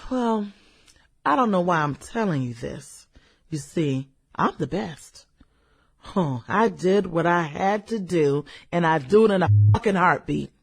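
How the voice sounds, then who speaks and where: A woman's voice: fairly low pitch, animated, unhurried, vocal fry, slightly rough, heavily acted. A woman acting an arrogant, ruthless former cheerleader turned killer in a radio drama, haughty, sneering and sassy. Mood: boastful.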